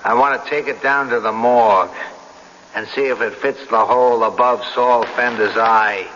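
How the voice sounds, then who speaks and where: Guy as a tough sardonic detective grilling people, sharp voice, clipped, old radio play, muffled and a bit hissy. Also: mid-range voice, steady tone, moderate pace, natural voice. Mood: menacing, sardonic. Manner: clipped, needling, streetwise interrogation. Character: hard-boiled male police detective, tough, sardonic, relentless. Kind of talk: 1970s radio drama acting. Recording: dull, noisy old radio recording, reverberant hall.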